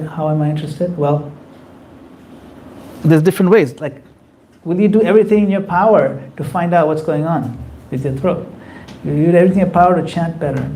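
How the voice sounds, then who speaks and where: A man's voice: a little high, mellow, slight background noise, rough recording. A man giving a conversational temple class, acting out quoted voices as he explains. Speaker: spiritual teacher. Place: temple hall.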